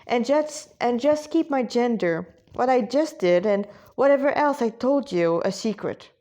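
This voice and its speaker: A woman's voice: on the high side, animated, measured pace, warm tone. A woman voicing a legendary female android warrior, curt, stern and dismissive, in an amateur story reading. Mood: stern, grumbling.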